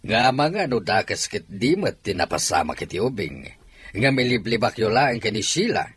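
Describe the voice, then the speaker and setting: An actor with an Ilocano accent playing a troubled, brooding master of the house in an audio drama. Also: male voice, on the low side, big pitch swings, normal speed, a bit bright, breathy edge, exaggerated voice. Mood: stern, suspicious. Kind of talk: dramatic Ilocano radio-style drama acting.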